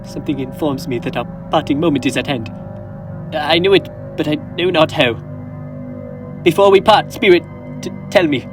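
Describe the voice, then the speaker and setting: A man's voice: fairly high pitch, moderate pace, close to an everyday voice. A teenage boy acting an old male miser on a school stage, pleading earnestly and theatrically. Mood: dread. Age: teens. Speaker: stage actor. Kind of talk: high school stage play. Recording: guitar music behind.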